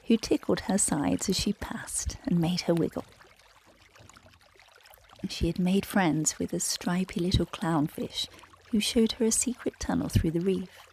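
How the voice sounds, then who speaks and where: Slow, hushed woman telling a bedtime story. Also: on the low side, slight background noise. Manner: gentle, soothing, hushed and breathy, often near whispering. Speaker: meditation guide and bedtime storyteller. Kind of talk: guided relaxation and bedtime story narration for children.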